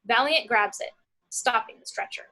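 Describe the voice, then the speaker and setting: A woman narrates a script as the reader of action lines. Her voice is on the high side and clearly bright, with a forward edge. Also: moderate pace, low-quality recording. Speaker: narrator reading action descriptions.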